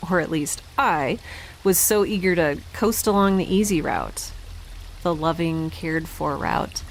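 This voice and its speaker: Halting, guilt-ridden young woman, lowish voice. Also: slight background noise, poor audio. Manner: halting, reflective, self-questioning. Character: guilt-ridden young woman scientist keeping an audio log. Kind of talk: audio drama monologue, introspective.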